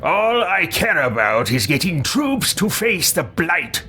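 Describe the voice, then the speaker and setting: A man's voice: a little high, big pitch swings, slow pace, pushed and bright, husky, faint music behind, voice pushed up, full character voice. A man voicing a haughty, blunt, impatient wizard in a pompous, declamatory way for a game.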